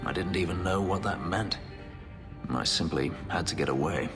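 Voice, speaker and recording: A haunted former slave turned elf warrior, his voice very low and darkly brooding. He speaks in measured, formal, clipped sentences, holding himself in check. A loud game score plays under him. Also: music in the background. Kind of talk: video game cutscene dialogue.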